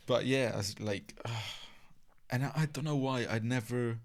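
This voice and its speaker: A man's voice: mid-range voice, measured pace. A young man from Italy with an Italian accent, hosting a casual podcast chat in a chatty, teasing way. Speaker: podcast host. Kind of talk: casual conversation.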